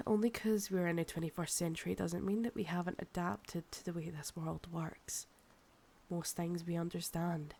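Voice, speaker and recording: A woman's voice: fairly low pitch, steady tone, creaky, warm tone. A woman with a Scottish accent playing a possessive, proud, seductive ancient vampire in an intimate ASMR roleplay, teasing and condescending. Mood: haughty, explaining. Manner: slow, teasing, condescending, calls listener darling.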